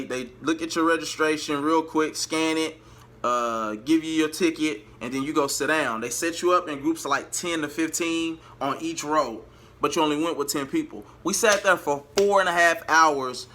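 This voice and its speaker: A man telling a story casually to his viewers, animated and slangy, slipping into the words of people he quotes. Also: a little high, measured pace. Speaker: vlogger and amateur singer.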